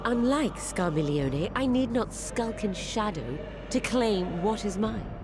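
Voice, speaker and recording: Haughty, theatrical fiend villainess. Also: female voice, medium pitch, expressive, slow, noisy background. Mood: predatory relish. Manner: theatrical, imperious, disdainful. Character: haughty, predatory female fiend savoring her prey. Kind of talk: scripted video game voice acting.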